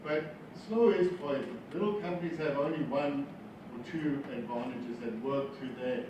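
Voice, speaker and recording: A man's voice: fairly high pitch, normal speed. A South African man giving an informal business speech with wry, self-deprecating humor, repeating phrases for emphasis. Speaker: business founder, guest speaker. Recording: very echoey room, distant mic, noisy.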